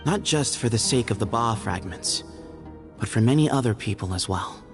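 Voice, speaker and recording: An actor playing a proud, dutiful temple leader in a video game, speaking formally and deliberately. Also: male voice, mid-range voice, lively intonation, close to an everyday voice. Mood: eager. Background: game music.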